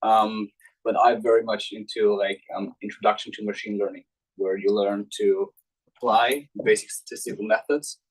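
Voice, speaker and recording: Young man, student panelist, deeper register with some rasp, spontaneous delivery. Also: fairly even intonation, normal speed, low-quality recording. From Austria. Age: young adult. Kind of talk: unscripted panel answers.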